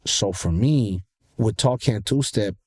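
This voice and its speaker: A man with a nasal voice, a rapper talking loosely as a guest, animated and full of swearing and fillers. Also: lowish voice, slow pace, slightly rough. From Ontario, California. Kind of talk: casual interview chat.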